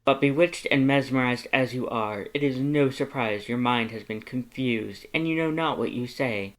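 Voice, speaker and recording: A man's voice: medium pitch, moderate pace, close to an everyday voice, rough recording. A man voicing a vain, haughty male skeleton lord with pompous, declamatory, theatrical speech. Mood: boastful, haughty. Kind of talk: theatrical storybook character acting.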